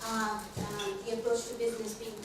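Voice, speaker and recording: A woman's voice: a little high, little pitch movement. Woman from bangladesh presenting research, lots of ums, far off in a noisy echoey hall. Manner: measured, with frequent ums and uhs. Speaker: researcher and conference speaker. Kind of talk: conference presentation. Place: conference hall.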